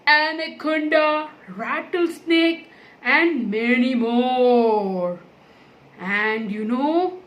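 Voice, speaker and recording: A woman voicing a friendly snake puppet in a children's educational show, deliberately repeating each fact for young listeners. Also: high voice, fairly bright tone, full character voice.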